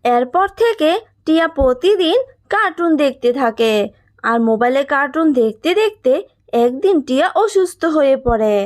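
A woman narrator with a high voice. She reads a scripted children's cartoon story as a storyteller. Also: very animated, moderate pace.

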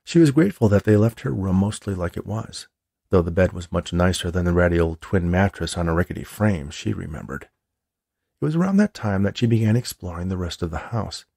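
A man's voice: low-pitched, animated, fairly quick, gravelly, a little mellow, no echo, studio quality. A man who is an author, narrating a chapter of his own story from the page. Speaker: author reading own book.

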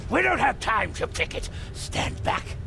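A man's voice: extremely high pitch, wildly swooping pitch, a little slow, creaky, heavy background noise, heavily acted. A man playing a sinister cultist intruder in a horror video game. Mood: urgent.

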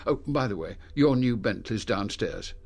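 An actor playing a dry, authoritative British intelligence chief in a radio drama, clipped and matter-of-fact. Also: male voice, on the low side, expressive, moderate pace. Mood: brisk.

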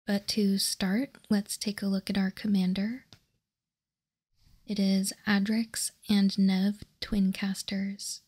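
A woman softly whispering ASMR narration close to the mic, calmly and methodically explaining as a host. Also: medium pitch. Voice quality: soft, breathy whisper. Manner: calm, measured explaining. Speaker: host of a card game ASMR channel.

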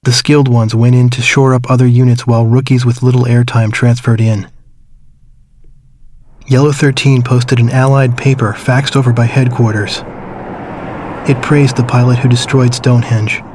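Game story narrator, played by a man: reflective man looking back on war, mid-pitched, flat and restrained. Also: slight background noise, natural voice. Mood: somber, reflective. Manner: somber, measured, reflective. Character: man somberly recalling his wartime childhood. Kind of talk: scripted first-person story narration.

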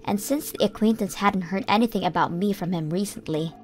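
A woman's voice: medium pitch, brisk, soft background music. A woman giving an earnest, scripted first-person narration of a story.

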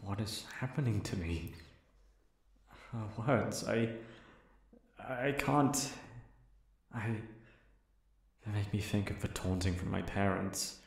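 A man's voice: on the low side, takes it slowly, gravelly, pauses often, breathy edge, exaggerated voice. A man playing a possessive, lonely young prince in an ASMR roleplay, speaking in formal, stilted phrases to the lover he holds captive. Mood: anguished. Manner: formal, stilted diction, swinging from tender to commanding.

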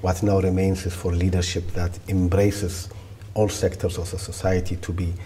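A man in his fifties from Ethiopia, giving deliberate, steady answers as a guest in a studio interview, recorded on a lapel mic. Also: deep voice, slight background noise. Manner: deliberate, steady, with repeated restarts. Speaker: development bank regional director, guest. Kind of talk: measured policy interview answers. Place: indoor studio set, seated in wicker chair.

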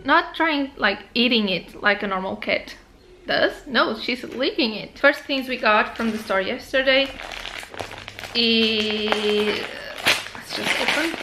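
A young woman vlogger with a Portuguese accent talks in an unhurried, drawn-out way, her voice sitting a little high. She chats to a camera held at arm's length and drifts from one thing to the next. A faint hiss of room noise sits under her voice. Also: bright, forceful tone, poor audio. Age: young adult. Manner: chatty, unhurried, easily sidetracked. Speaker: travel vlogger. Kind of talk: casual vlog chat. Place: hotel room and home living room. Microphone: handheld camera at arm's length.

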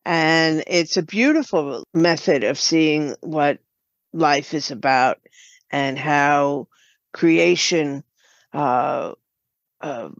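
Older lady being interviewed, slow n halting, kinda muffled dry sound. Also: low-pitched, no room sound. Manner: thoughtful, halting, explanatory. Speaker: guest, writer and producer. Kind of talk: reflective interview talk.

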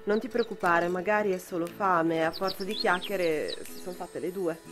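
A woman's voice: lowish voice, normal speed, a bit bright, soft background music, lightly acted. A young woman, a student actor playing an affectionate, coaxing wife in an amateur radio drama. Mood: tender. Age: young adult. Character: affectionate, soothing young-looking wife, Natura.

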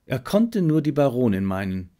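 A man reading a detective story as an audiobook narrator, in measured, expressive scripted narration. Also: mid-range voice.

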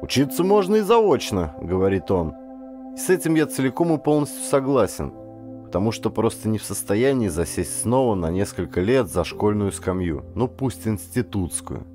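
Male audiobook narrator, lowish register, highly varied intonation, deliberate dramatic storytelling, faint music bed. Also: animated. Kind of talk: expressive first-person literary audiobook narration.

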